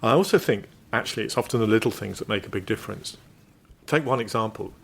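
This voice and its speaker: A man with a low voice gives his views in an interview. He speaks in a measured, thoughtful way and states his opinions plainly. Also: creaky, husky. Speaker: interviewee, local resident. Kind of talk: reflective interview talk.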